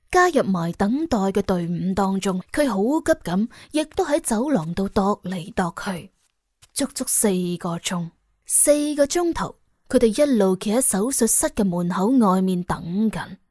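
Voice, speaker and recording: Woman, Cantonese accent, mid-pitched, raspy breathy tone, scripted suspense narration, audiobook storyteller. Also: dark tone, no room sound, studio quality.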